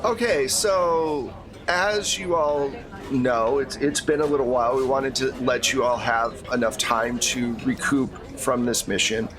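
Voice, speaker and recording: A man playing a middle-aged female agency handler in a tabletop game, courteous, protective and measured in a briefing manner. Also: mid-range voice, fairly even intonation, crowd noise, lightly acted. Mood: businesslike, reassuring. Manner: measured, professional briefing talk.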